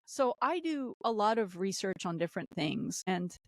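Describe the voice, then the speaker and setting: A woman who also speaks Arabic, giving impassioned political commentary on a podcast, repeating phrases and rising in pitch when indignant. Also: big pitch swings, moderate pace, no echo, studio quality. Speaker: podcast guest.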